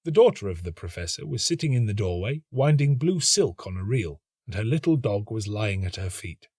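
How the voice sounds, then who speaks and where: A man reading a literary story as an actor would, in a measured, dramatic and expressive narrating style. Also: fairly low pitch, breathy edge, no room sound, studio quality.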